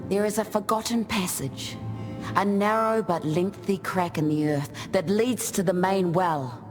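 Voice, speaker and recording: A man playing an earnest, loyal outsider chieftain in a video game, speaking in a measured, reflective way. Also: high-pitched. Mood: wistful. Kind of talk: video game character dialogue. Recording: loud music behind the voice.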